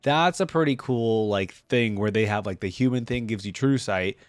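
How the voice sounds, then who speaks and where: A man hosting a reaction video, reading text aloud and commenting casually as he thinks out loud. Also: on the low side, moderate pace, smooth, no echo, faint music behind.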